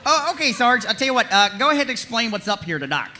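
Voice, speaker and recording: Bright, high-pitched slacker dude. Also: male voice, sing-song, fast talker, full character voice. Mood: casual. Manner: surfer-dude slang, drawling casual delivery. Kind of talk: comedy script table read.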